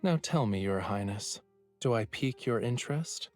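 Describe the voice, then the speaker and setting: Man acting as a low-voiced, teasing young lover. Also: expressive, normal speed, a little mellow, natural voice. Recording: music behind the voice.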